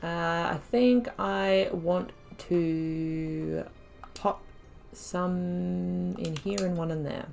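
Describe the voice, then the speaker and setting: Chatty woman with a gravelly low voice. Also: drawn-out words, soft background music. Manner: chatty, thinks aloud, bursts into excited exclamations. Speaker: let's play host. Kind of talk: casual gameplay commentary.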